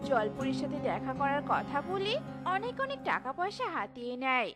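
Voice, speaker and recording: A woman narrating a children's cartoon story, telling it in a lively storybook way. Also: high-pitched, normal speed, music in the background.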